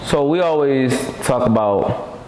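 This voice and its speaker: A man's voice: medium pitch, animated, slow, crowd noise. A man, hosting a casual interview in a restaurant lounge, upbeat and playful, tripping over repeated words. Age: 30s. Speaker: host. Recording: reverberant room.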